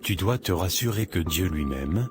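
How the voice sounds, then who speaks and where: Man as a wise cartoon pastor, very deep voice, calm and teacherly, clear music behind. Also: normal speed. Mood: counseling. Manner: calm, instructive, sermon-like. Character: wise older male pastor, gentle counselor.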